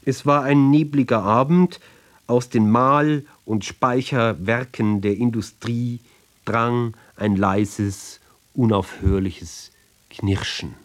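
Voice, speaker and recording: Guy reading poetry on the radio, really slow and sober, mid pitch, careful pauses between phrases. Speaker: reader of literary ballads. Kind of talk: measured literary radio reading.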